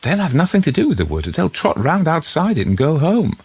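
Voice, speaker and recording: A man voicing an uneasy country gentleman in a ghost story, formal and a little hesitant as he puzzles things out. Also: fairly high pitch, wildly swooping pitch, fairly quick, slightly rough, pitched above the performer's own voice, full character voice. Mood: resigned.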